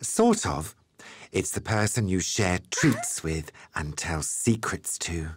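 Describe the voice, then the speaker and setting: A man playing a kindly, patient grown-up of a royal household in a cartoon, softly sympathetic in manner. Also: sits low, wildly swooping pitch, slow, breathy edge, heavily acted.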